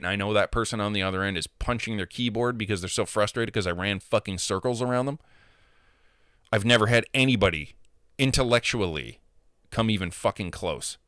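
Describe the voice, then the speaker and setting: A man in his thirties with a deep voice, ranting casually and profanely into a handheld mic held close, full of opinions. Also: a bit bright, smooth, dry recording. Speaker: gamer vlogger. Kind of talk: casual, ranting monologue to camera. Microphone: handheld microphone held close to the mouth.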